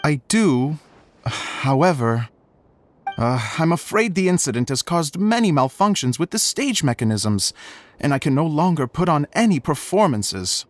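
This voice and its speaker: A man's voice: a little high, big pitch swings, unhurried. A man voicing an eccentric, fussy theatre director and inventor in a video game, theatrical and a little hesitant. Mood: amused, dry. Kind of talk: animated video game dialogue.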